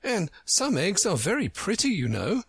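A man voicing a polite, curious young girl from a storybook, gentle and earnest. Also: high voice, wildly swooping pitch, moderate pace, breathy edge, no echo, pitched above the performer's own voice, exaggerated voice. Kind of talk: storybook character reading.

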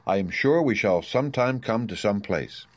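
A man's voice: on the low side, expressive, normal speed, no echo. A man voicing a young, earnest and hopeful girl in a storybook reading.

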